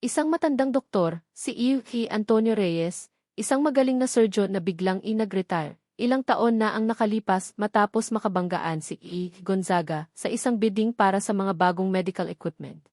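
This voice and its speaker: A woman reading a scripted story as a narrator, in a measured, dramatic way with emphatic phrasing. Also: medium pitch, darkish tone, dry recording, studio quality.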